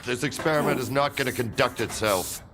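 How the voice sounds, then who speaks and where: A man's voice: mid-range voice, fairly quick, lightly acted. Stern, curt colonel, acted by a man, noisy backdrop. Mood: stern, commanding. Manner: curt, commanding. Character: stern, impatient male military colonel. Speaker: military commander. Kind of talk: video game cutscene acting.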